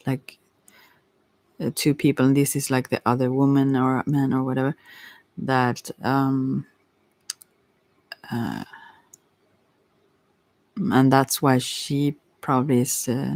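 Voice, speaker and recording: A non-native English speaker giving a casual, unscripted tarot reading in a hesitant, halting way, searching for words. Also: mid-range voice, creaky, a little mellow, no echo, rough recording. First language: not English. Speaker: tarot reader.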